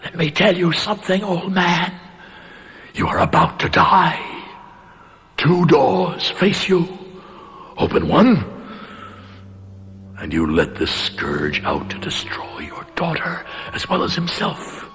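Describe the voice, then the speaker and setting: An actor playing a menacing, commanding doppelganger spirit in a radio drama. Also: male voice, on the high side, big pitch swings, slow, long pauses, a bit bright, faint music behind, exaggerated voice. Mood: menacing, urgent. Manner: threatening, insistent, commanding. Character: menacing male doppelganger spirit, hungry to take a body.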